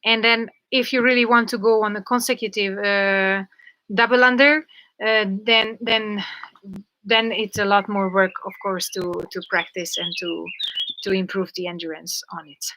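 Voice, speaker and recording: A woman's voice: mid-range voice, fairly even intonation, moderate pace, bright, forceful tone, rough recording. A woman with a Hungarian accent, a jump rope instructor leading a live online class, upbeat and coaching, laughing often over a video call.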